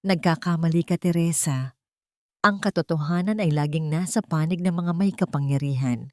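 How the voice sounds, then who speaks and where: A vengeful woman villain from an audiobook drama, acted by a female narrator. Her voice sits fairly low and sounds dry and close. She speaks with cold, taunting menace. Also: moderate pace, dry recording, natural voice. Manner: threatening, taunting. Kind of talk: dramatic audiobook character acting.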